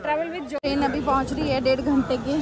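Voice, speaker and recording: A woman chatting lively to her viewers while vlogging a train journey, in a crowded coach. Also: sits high, quick pace. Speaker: travel vlogger. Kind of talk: casual vlog narration. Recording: loud crowd noise, phone mic.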